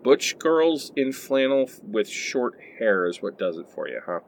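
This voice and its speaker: A man's voice: medium pitch, big pitch swings, measured pace, gravelly, a little hiss or hum. A man playing an amiable, sardonic husband in a radio play. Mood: accepting, wry. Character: amiable, sardonic husband making peace with heartbreak.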